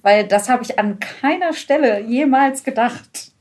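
A woman's voice: a little high, unhurried, a little mellow. A woman talks casually and informally as a podcast co-host. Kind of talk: casual chat.

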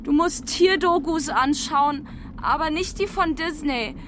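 A woman's voice: high voice, a little slow, noisy background. An actress playing a defiant, blunt and opinionated young woman in a radio play. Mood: scornful, blunt. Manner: blunt, contradicting, colloquial. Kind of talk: radio play monologue and dialogue.

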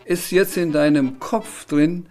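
A man's voice: a little high, takes it slowly, darkish tone, soft background music, pitched above the performer's own voice. A man voicing a cheeky impish fantasy creature, declaiming rhymes in a sing-song manner. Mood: cheeky, proud.

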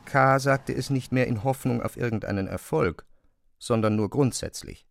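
Creaky-voiced male literary narrator. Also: mid-range voice, moderate pace, no echo. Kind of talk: literary audio drama narration.

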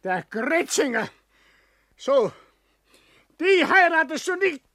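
A man's voice: extremely high pitch, very animated, takes it slowly, sharp tone, a little hiss or hum, full character voice. An actor playing a stubborn, choleric father and provincial building contractor, speaking with a Bavarian accent in a blustering, obstinate way. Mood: furious. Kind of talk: dialect stage-play acting.

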